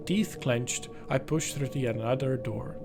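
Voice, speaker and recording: Male game channel host reading story text and adding commentary, medium pitch, music underneath. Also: measured pace, creaky.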